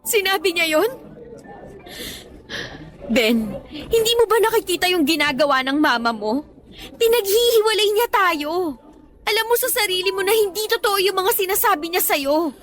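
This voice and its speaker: Actress for devoted young girlfriend in a radio romance; very high voice, earnest, pleading, stubbornly loyal. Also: lively intonation, normal speed, long pauses, fairly bright tone. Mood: upset, urging. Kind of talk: Tagalog radio drama acting.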